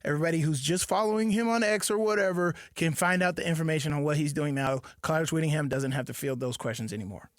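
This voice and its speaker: Male radio co-host, ex-player, higher pitch, emphatic, dry mic. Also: no echo. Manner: quick agreeing interjections, emphatic repeats. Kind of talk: casual sports talk.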